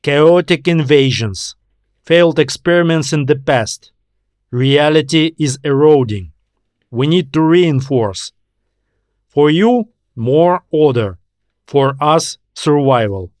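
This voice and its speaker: A man's voice: a little high, lively intonation, slow pace, darkish tone, no room sound. A man voicing a terse alien reptiloid trader who bargains in clipped, formal fragments in a science fiction story. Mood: urgent, formal.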